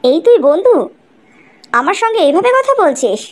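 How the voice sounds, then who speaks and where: A woman's voice: extremely high pitch, sing-song, moderate pace. A woman voicing a scornful, threatening young college student in cartoon dialogue.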